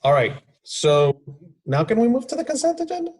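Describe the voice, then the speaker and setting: A man's voice: very high-pitched, huge pitch swings, a little fast, slightly rough. A man who is vice mayor, chairing a council meeting in a casual, slightly hesitant way. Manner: casual, hesitant, moves things along.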